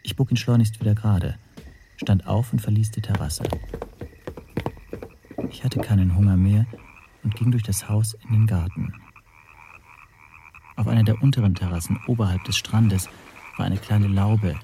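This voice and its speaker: A male actor plays a reserved storyteller in a radio play. His voice is deep and mellow, and he narrates in a measured, understated manner over faint night sounds. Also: fairly even intonation, slight background noise, natural voice. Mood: detached, reserved. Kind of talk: scripted literary narration in a radio drama.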